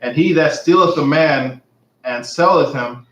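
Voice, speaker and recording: A man's voice: fairly high pitch, a little slow, fairly bright tone, echoey room, rough recording. A man in the class reading a scripture verse aloud from off-mic.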